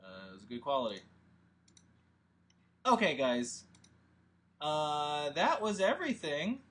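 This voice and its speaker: Higher-pitched man, rambling casually. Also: big pitch swings, fairly bright tone. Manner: rambling, halting, thinking aloud. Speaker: host and filmmaker. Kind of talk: casual livestream discussion.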